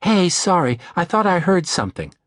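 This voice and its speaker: Man voicing an anxious young woman, pitched high. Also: very animated, normal speed, no echo, clean studio sound. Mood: uneasy. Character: anxious young working woman, a maid phoning for help.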